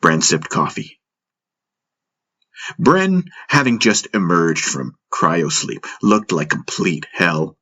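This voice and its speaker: A man's voice: sits low, big pitch swings, slow pace. A man reading a scripted first-person science-fiction story as an audiobook narrator. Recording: dull muffled sound under loud music. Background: loud music.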